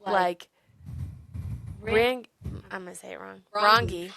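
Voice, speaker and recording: Creaky-voiced woman chatting about books. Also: mid-range voice, slow. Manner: animated retelling, acts out quotes, swears freely. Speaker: podcast co-host. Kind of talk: casual book discussion chat.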